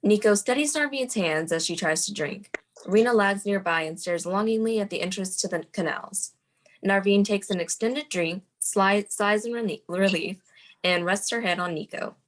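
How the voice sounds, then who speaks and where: A woman's voice: mid-range voice, normal speed. A woman reading scripted stage directions aloud as the narrator of a screenplay table read.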